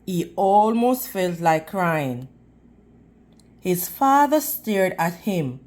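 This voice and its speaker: Slow, expressive woman reading to children. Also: lowish voice. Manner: deliberate, teacherly, addressing children. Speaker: children's read-aloud storyteller. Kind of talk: expressive storybook reading with questions to young listeners.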